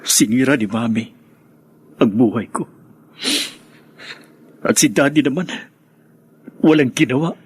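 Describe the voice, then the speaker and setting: A man's voice: mid-range voice, a little slow, long pauses, slightly rough. A man acting a dutiful eldest son, hurt by his parents, in a Tagalog radio drama. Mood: bitter, sorrowful.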